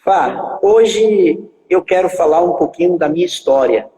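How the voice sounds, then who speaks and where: A man in his forties telling his life story as a guest pastor in a live stream, speaking haltingly with many filler pauses and repeated words. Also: sits high, expressive, mellow, big-room sound, rough recording. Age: 40s.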